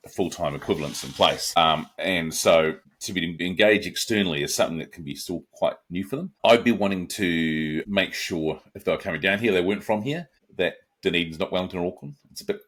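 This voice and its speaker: Deep-voiced New Zealand man, relaxed and reflective. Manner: relaxed, reflective, with frequent restarts and asides. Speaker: guest. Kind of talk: podcast interview answers.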